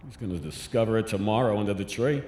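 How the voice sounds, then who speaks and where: An actor playing a middle-aged scientist father in an audio drama, casual, wry and gently persistent. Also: lowish voice, quick pace, in a large hall, slight background noise, lightly acted. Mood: playful, fatherly. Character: warm, eager father and scientist, a concerned friend. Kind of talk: naturalistic audio drama acting.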